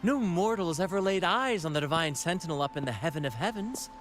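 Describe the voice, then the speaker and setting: An actor playing a flamboyant, theatrical ship captain in a video game, with grand, courtly phrasing. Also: male voice, fairly high pitch, animated, a little fast, background noise, lightly acted. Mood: lively, lyrical. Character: flamboyant swashbuckling ship captain leading a merry theatrical troupe. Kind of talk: video game character acting.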